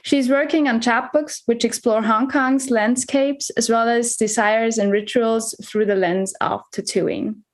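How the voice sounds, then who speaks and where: A woman's voice: a little high, steady tone, a little slow, hardly any pauses. A woman hosting an online reading, introducing the next poet with a few hesitations. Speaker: host introducing a reader.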